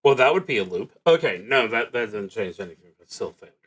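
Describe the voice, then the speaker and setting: A man casually narrating his solo tabletop roleplaying game, haltingly thinking aloud and muttering to himself as he goes. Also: fairly low pitch, expressive, fairly bright tone, breathy edge.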